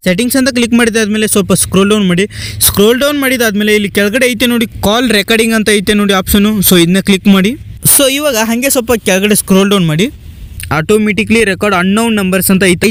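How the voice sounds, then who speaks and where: A man narrating a casual step-by-step phone tutorial as a host, in repetitive instructional phrasing. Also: high voice. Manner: repetitive instructional phrasing, often starting sentences with "so".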